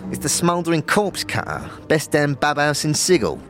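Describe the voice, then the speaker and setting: A man's voice: fairly high pitch, sing-song, moderate pace, fairly bright tone, faint music behind, pitched above the performer's own voice. A man voicing a chatty, slangy tavern keeper in a fantasy audio drama, with stammering asides. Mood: proud, boastful.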